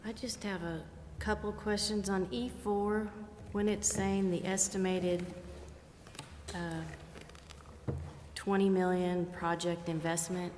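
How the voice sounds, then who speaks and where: A woman's voice: medium pitch, little pitch movement, slow, a little mellow. A woman on a city committee asking careful questions at a meeting. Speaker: committee member. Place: council chambers. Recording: reverberant chamber with faint noise.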